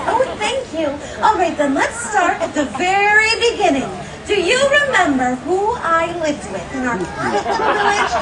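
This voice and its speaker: A woman's voice: extremely high pitch, very noisy. A young woman playing a sweet, gracious storybook heroine, telling a tale to children in a theatrical, gushing, encouraging way at a live show. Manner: theatrical, gushing, encouraging, sing-song questioning. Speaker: theme park character storyteller. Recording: distant echoey live show, loud music and crowd. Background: loud music and audience of children.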